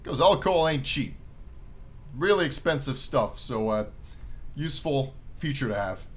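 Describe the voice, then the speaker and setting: A man's voice: medium pitch, lively intonation, measured pace. A man giving jokey playthrough commentary as he reads a visual novel aloud, often repeating phrases for emphasis. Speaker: gaming video host.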